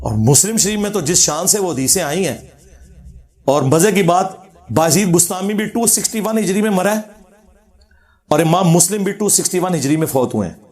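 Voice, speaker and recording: A man lecturing on religion in a notably high-pitched voice with a Pakistani Punjabi accent. He argues his rebuttal informally and sardonically, moving between commentary and quoted scripture. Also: expressive, moderate pace, a little mellow. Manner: argumentative, sardonic, quoting scripture.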